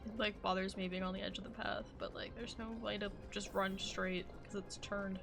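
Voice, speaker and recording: A woman's voice: mid-range voice, level tone, normal speed, vocal fry. A woman streaming a game live with casual, informal commentary full of fillers. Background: game music.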